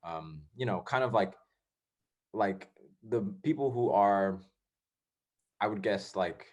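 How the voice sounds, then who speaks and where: A man's voice: on the low side, takes it slowly, darkish tone. A young man hosting a casual podcast, rambling with many fillers and restarts. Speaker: podcast host.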